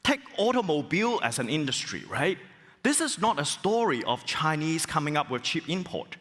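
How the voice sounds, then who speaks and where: A middle-aged man from Hong Kong, a business school professor, giving an animated keynote talk with rhetorical questions and exclamations. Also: on the high side, normal speed. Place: large conference hall. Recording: long hall reverb.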